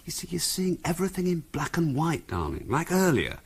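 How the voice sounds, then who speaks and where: An actor playing an impatient, patronising English middle-class husband in a 1970s radio drama, brusque and dismissive. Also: male voice, on the high side, big pitch swings, brisk, husky, exaggerated voice. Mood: exasperated, patronising. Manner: brusque, condescending.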